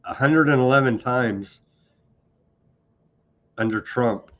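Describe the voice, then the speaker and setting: A man's voice: mid-range voice, slow, a bit bright. A man hosting a political commentary show, talking in a sarcastic, conversational way. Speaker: host. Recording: dull lo-fi sound.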